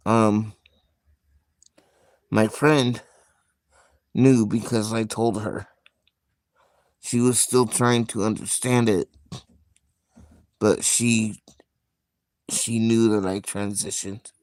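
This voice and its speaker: Slow, low-voiced man musing quietly. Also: steady tone, no room sound. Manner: slow, soft-spoken, reflective, with frequent pauses and fillers. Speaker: livestream host. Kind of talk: casual live stream chat.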